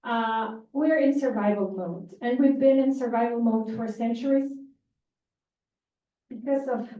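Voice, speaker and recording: A woman from Ukraine with a Ukrainian accent, giving a lively, informal conference presentation and often correcting herself as she talks. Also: a little high, a little slow. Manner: lively, informal, self-correcting, moves around while talking. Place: conference room. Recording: distant, dull, echoey room recording.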